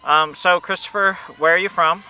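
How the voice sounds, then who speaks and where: Bright-voiced upbeat man hosting. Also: high voice, steady tone, moderate pace, a little hiss or hum, low-quality recording. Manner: upbeat, playful, joking. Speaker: host. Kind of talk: casual interview while flying a drone. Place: outdoors at a park playground.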